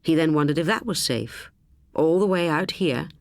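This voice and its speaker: A woman reading a suspense story as an audiobook narrator, in an expressive, measured storytelling manner. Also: deep voice, no room sound, clean studio sound.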